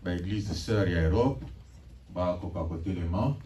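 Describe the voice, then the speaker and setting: A man's voice: deep voice, a little slow, mellow. A man giving formal church announcements and leading call-and-response during a service. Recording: distant mic with faint noise.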